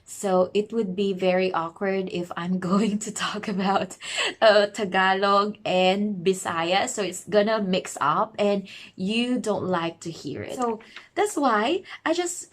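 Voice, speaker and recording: Woman in her thirties from the Philippines, online English teacher, chatty and self-correcting, medium pitch. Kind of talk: casual explainer vlog.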